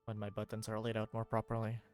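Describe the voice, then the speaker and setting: A man's voice: fairly low pitch, monotone, fast talker, darkish tone. Casual male game streamer over music. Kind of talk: casual livestream commentary, reading game dialogue aloud.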